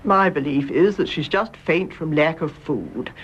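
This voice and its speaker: An actress playing a devoted older mother and gracious hostess in a British radio drama, speaking in a measured, genteel British way. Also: low-pitched, breathy edge. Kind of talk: British stage-play radio drama acting. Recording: dull audio, faint background noise.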